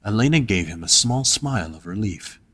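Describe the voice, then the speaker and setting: Casting: male story narrator, lower register, dry recording, reading scripted prose. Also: animated, brisk, a bit bright, dry recording.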